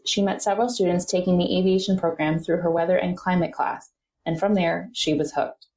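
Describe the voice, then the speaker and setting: A woman hosting a podcast, reading a smooth scripted introduction and asking interview questions. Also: on the low side, far from the mic. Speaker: podcast host. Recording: roomy echo, off-mic, soft music.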